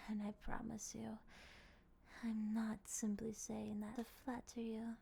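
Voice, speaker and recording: Woman acting a slow, breathy, possessive vampire lady. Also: a little high, monotone, warm tone, heavily acted. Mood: tender, sated. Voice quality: breathy, often dropping to a whisper. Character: possessive, courteous, hungry female vampire, soft dominant. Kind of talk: intimate ASMR roleplay acting.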